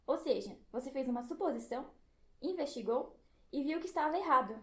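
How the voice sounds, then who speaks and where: A woman's voice: high-pitched, animated, fairly quick. A woman playing an upbeat, instructive science guide in a theatrical educational audio drama. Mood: upbeat, explaining. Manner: didactic, theatrical. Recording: roomy, echoey sound.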